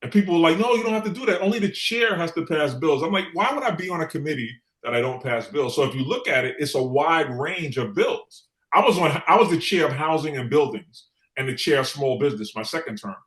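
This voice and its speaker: A man's voice: fairly high pitch, animated, fairly quick, few pauses, fairly bright tone. A middle-aged man from New York City speaking as an interview guest, telling stories conversationally and repeating phrases for emphasis. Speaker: guest, former city council member. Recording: echoey room sound.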